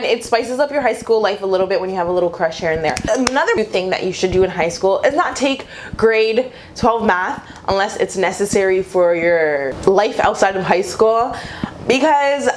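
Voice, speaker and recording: A woman's voice: mid-range voice, a little hiss or hum. A young adult woman chatting casually to viewers as a vlogger, giving advice in an informal way and repeating phrases for emphasis.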